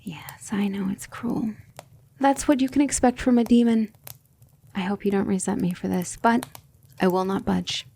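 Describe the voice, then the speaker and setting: A woman playing a gentle but firm demon tutor in an ASMR roleplay, speaking in a soothing, measured and formal way. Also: medium pitch, fairly even intonation, slightly rough. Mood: firm, stern.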